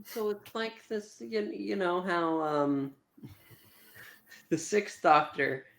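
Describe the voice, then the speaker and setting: A man's voice: high voice, animated, slow pace, gravelly, a bit bright. A man co-hosting a podcast who gives wry, chatty opinions on an audio drama, often with fillers and small laughs. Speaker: podcast co-host. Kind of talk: casual review chat.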